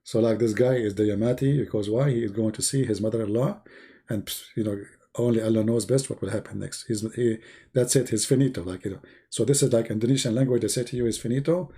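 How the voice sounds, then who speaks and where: Husky, gravelly man rambling on a live stream. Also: fairly low pitch, fast, mellow. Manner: teasing, sarcastic, repeats phrases. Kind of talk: rambling religious debate live stream chat.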